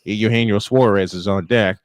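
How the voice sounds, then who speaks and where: A man's voice: on the low side, steady tone, normal speed, no echo. A man calling a baseball game live as play-by-play host, slipping between commentary and casual chat.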